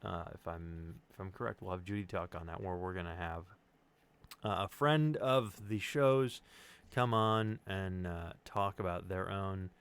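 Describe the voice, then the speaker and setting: A man's voice: low voice, measured pace, fairly bright tone, clear, resonant tone. A middle-aged man hosting a casual livestream, chatting in a rambling, hesitant and joking way. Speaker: stream host and audio drama sound designer. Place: online group stream.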